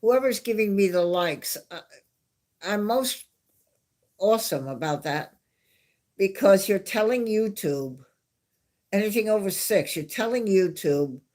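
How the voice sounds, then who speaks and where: A woman with a New York accent chats slowly and easily on her live stream, drifting from one thought to the next. She laughs softly as she talks and speaks in a mid-range voice. Her delivery is relaxed and unhurried. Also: mid-range voice. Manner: rambling, chatty, with frequent soft laughs. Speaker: livestream host. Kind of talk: casual live-stream chat.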